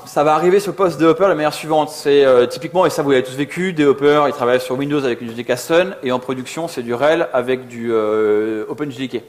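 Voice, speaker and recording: Male conference speaker, rapid and a little high-pitched, chatty and slangy while fielding questions. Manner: casual, slangy, self-deprecating. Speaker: conference speaker, software developer. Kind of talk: informal conference Q&A.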